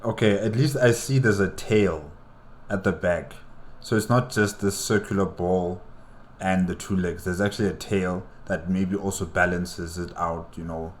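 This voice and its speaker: A man's voice: sits low, steady tone, unhurried, a little hiss or hum. A man hosting a reaction video, commenting casually and thinking aloud with curious questions. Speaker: reaction channel host.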